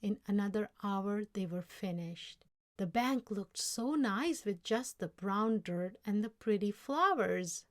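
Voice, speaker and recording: Slow, measured woman reading a storybook. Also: mid-range voice, animated. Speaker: story reader. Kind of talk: children's storybook read-aloud.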